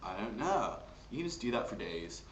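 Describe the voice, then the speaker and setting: A man's voice: lowish voice, very animated, normal speed, muffled recording. A man from England with an English accent, chatting casually as an amateur voice actor introducing his impressions. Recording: roomy, noisy home recording.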